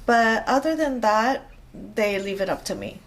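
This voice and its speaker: A woman's voice: on the high side, expressive, a little slow. A middle-aged woman from Japan with a Japanese accent, speaking hesitantly with many fillers as a guest in a casual interview. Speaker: interview guest, rope bondage practitioner.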